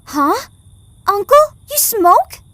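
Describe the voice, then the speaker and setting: Woman acting high-voiced, anxious young girl. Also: big pitch swings, takes it slowly, a bit bright, no room sound, heavily acted. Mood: wary, blunt. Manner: emotional, earnest. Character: anxious, stubborn young girl, devoted to her guardian. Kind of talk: anime-style manga dub acting.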